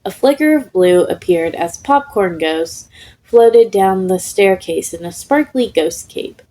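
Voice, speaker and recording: A woman's voice: mid-range voice, animated, unhurried, dark tone. A woman reading a scripted story aloud as a narrator. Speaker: fan fiction podfic reader.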